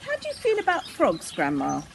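A woman's voice: high voice, wildly swooping pitch, fairly quick, creaky, noisy background, pitched above the performer's own voice, heavily acted. A woman voicing an eager, knowledgeable young child in a storybook reading. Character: eager, knowledgeable young child guiding Grandma round a museum.

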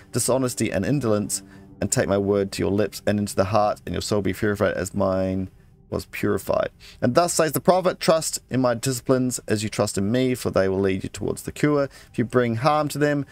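A man playing a video game, casually commenting, reading in-game texts aloud and muttering asides to himself. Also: lowish voice. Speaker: let's play gamer.

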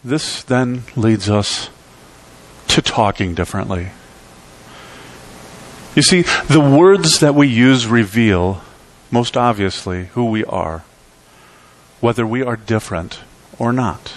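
A man's voice: expressive, slow pace, pauses often. Middle-aged man preaching with rising emphasis. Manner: emphatic preaching with sweeping pitch and volume swings. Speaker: pastor. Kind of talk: sermon. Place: church sanctuary.

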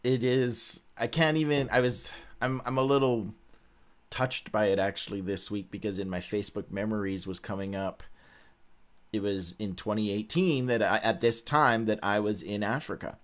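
Low-voiced man, halting casual host. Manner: halting, informal, self-correcting. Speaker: host of a Bible read-along. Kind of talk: casual livestream chat. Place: small indoor room, seated before a webcam.

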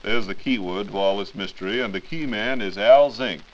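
A man's voice: on the low side, normal speed. A man co-hosting a scripted 1940s radio program, formal and dryly wry. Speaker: radio announcer and co-host. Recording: muffled 1940s radio recording.

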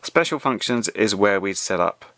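Male tutorial presenter, lowish voice, casual and explanatory, very dry sound. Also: animated, moderate pace, fairly bright tone, no room sound. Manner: conversational, explains step by step. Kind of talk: casual spoken tutorial over a hands-on demonstration.

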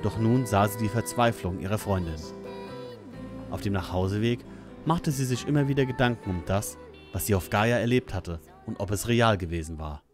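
A man's voice: lowish voice, moderate pace, over music. A man reading scripted narration for an audio drama.

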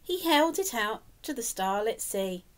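A middle-aged woman reading a picture book aloud to young children, expressively and deliberately, prompting them with questions. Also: on the high side. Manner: animated, slow and deliberate, prompting young listeners. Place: indoors, small room with decorated wall.

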